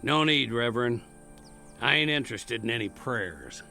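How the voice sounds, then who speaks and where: A man's voice: mid-range voice, expressive, normal speed, creaky, sharp tone, faint music behind. A man acting a defiant, remorseless condemned cowboy in a Western audio drama, with a sardonic, cocky, folksy way of talking. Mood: defiant, mocking.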